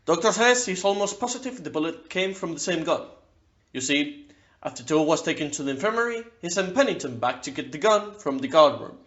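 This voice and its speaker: A man's voice: fairly high pitch, very animated, fairly quick, fairly bright tone, muffled recording. A man playing a blunt, impatient, incredulous ship's captain in an audio play. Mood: matter-of-fact.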